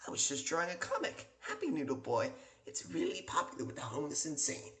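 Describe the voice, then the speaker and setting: Brooding homicidal maniac, volatile, swooping melodramatic delivery, roomy sound, comic dub. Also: male voice, on the high side, wildly swooping pitch, quick pace, heavily acted. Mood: eerily friendly. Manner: theatrical, erratic, swinging from hushed to shrieking.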